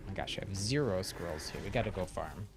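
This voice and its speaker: Lively low-pitched man streaming a game. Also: fast talker. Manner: easygoing, talks to himself while playing. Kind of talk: casual live gameplay commentary. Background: video game music.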